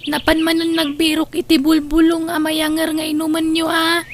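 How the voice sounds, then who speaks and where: A woman's voice: very high-pitched, completely flat delivery, moderate pace. A woman playing a dutiful, worried young mother who looks after her ailing mother in an Ilocano audio drama. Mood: caring, concerned. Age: young adult. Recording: clear background noise with birdsong.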